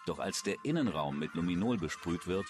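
A man's voice: low-pitched, lively intonation, a little fast. A man reading scripted true-crime documentary narration.

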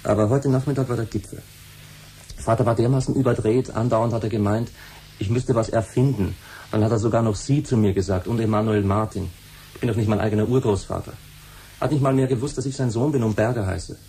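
An actor playing a laid-back, quietly defiant young adult son in a science-fiction radio play. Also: male voice, on the low side, fairly quick, husky. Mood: disgruntled.

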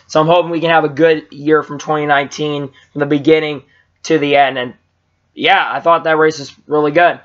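A young man talks into a webcam in a small room and rambles through a race recap, with plenty of fillers and restarts. His voice sits high and sounds a bit strained. The audio is dull and lo-fi. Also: a little fast. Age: young adult. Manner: rambling, lots of fillers and restarts, gets excited. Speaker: vlogger reviewing races. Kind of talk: casual race recap vlog. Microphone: webcam at low angle.

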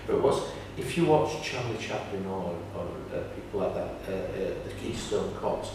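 An older man chatting casually in an interview, halting and repeating words as he tells anecdotes. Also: low voice, expressive, creaky. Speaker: pantomime writer and actor. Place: small indoor room, seated on sofa. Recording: echoey room, distant mic, faint noise.

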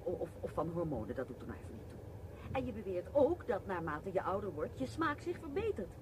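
A woman's voice: on the low side, very animated, brisk. An actress playing an argumentative, theatrical woman in a radio drama, talking in an emphatic, mock-pompous way. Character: argumentative, theatrical woman debating culture with an older colleague. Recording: clear background noise.

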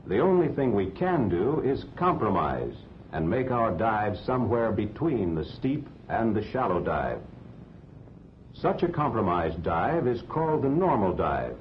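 An American man reading scripted instructional narration for a 1940s training film in a measured, didactic way. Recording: dull 1940s film soundtrack, rumbling noise.

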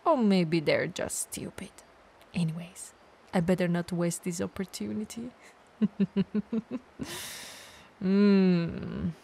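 A woman's voice: fairly low pitch, creaky, darkish tone, a little hiss or hum. A woman playing a playful, clumsy, bloodthirsty female vampire who mutters theatrically to herself in an ASMR audio roleplay. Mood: excited, sneaky.

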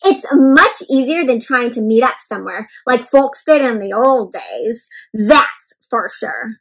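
A woman voicing a smug, comfort-loving male couch potato from a storybook, boasting in drawn-out exclamations about his gadgets. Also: on the high side, sing-song, slightly rough, full character voice, poor audio. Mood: contented, smug. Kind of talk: storybook character reading.

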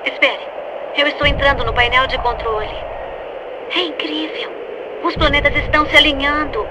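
Actress as clever, uneasy young Brazilian researcher for a game, high voice, loud noise behind. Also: female voice, fairly even intonation, normal speed, lightly acted. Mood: uneasy, awed. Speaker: video game companion. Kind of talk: dubbed video game acting.

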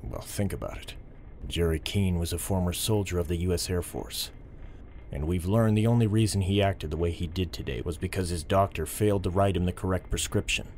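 An actor playing a principled, stubborn police officer who speaks in a measured, firm and formal way in an audio drama. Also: male voice, low-pitched, darkish tone, a little hiss or hum. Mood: earnest, controlled.

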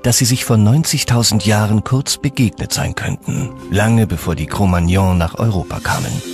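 A man with a fairly low voice narrates a documentary from a script. His delivery is measured and serious, and music plays clearly behind him. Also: darkish tone. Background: background music.

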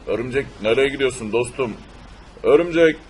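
A man playing a gruff, plaintive fellow who fusses over his beloved cars in an amateur cartoon dub. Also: medium pitch, normal speed, a little mellow. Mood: pleading. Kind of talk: amateur cartoon-style game video dub.

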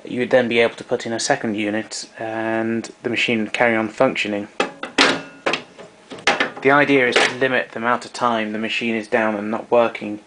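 A man's voice: on the low side, little pitch movement, fairly quick, very noisy. A man giving an unscripted technical demonstration in a matter-of-fact, somewhat hesitant way. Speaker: demonstrator of vintage tape recorder.

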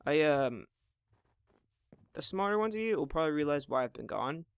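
A hoarse teenage boy in his mid-teens, with occasional voice cracks, chatting casually while playing a building game at home. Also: on the high side, lively intonation, normal speed, dry recording. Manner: rambling, chatty, self-deprecating. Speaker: Minecraft gameplay channel host. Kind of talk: casual gameplay commentary.

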